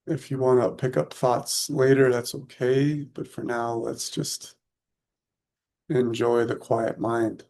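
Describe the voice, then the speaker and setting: A man leading a guided meditation in short, unhurried, soothing phrases with long pauses between them. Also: mid-range voice, flat delivery, darkish tone.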